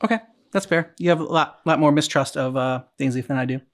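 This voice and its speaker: A man co-hosting a game reaction channel, chatting casually and haltingly as he thinks aloud. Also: on the high side. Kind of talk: casual discussion and commentary.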